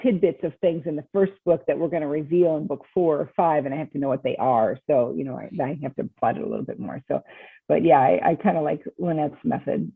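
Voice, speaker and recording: A woman's voice: deep voice, fast talker, gravelly, slightly rough, no room sound. A woman author chatting casually as a podcast interview guest, explaining things and acting out her thoughts as quotes. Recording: tinny phone-like line, muffled words.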